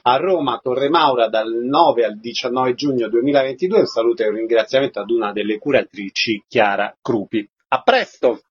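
A man's voice: medium pitch, moderate pace, few pauses, husky, lo-fi sound. A man hosting a radio interview programme, announcing and putting questions to guests.

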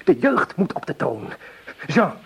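An actor playing a fervent young revolutionary fighter in a Dutch radio play, impassioned and urgent. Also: male voice, on the high side, huge pitch swings, a little slow, vocal fry, husky, exaggerated voice. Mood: fervent, pleading. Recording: noisy old radio drama recording.

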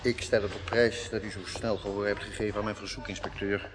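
Actor as grave male doctor, radio play: lowish, formal, measured, clear background noise. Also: level tone, natural voice. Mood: grave, courteous. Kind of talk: radio drama acting.

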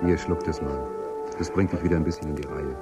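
An actor playing a calm, protective, disillusioned man in a dystopian radio drama, speaking plainly and informally. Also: very deep voice, quick pace, over loud music. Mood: firm, soothing. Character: calm, protective male dissident, disillusioned former official. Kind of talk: German radio drama acting.

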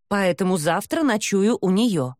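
A woman's voice: mid-range voice, animated, a little slow, darkish tone, no echo, studio quality, lightly acted. A woman voicing a nervous but stubborn thirty-year-old daughter, determined and defensive, in an audiobook. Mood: defiant. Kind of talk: audiobook character dialogue.

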